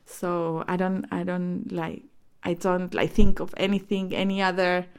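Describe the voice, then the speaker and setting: A woman in her forties from Chile, speaking English with a Chilean accent as a Spanish native speaker, recalling her life in a hesitant, halting way. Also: fairly low pitch, little pitch movement, clear, resonant tone. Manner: hesitant, many fillers and restarts. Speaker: interviewee. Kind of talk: personal reminiscence.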